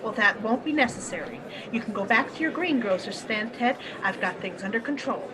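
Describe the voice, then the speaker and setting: Harried wife running a cinema, female actor, radio drama style, high voice over a busy crowd. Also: brisk, close to an everyday voice. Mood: firm. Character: harried, polite American cinema owner's wife. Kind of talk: old-time radio play acting. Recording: crowd noise behind. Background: crowd of people.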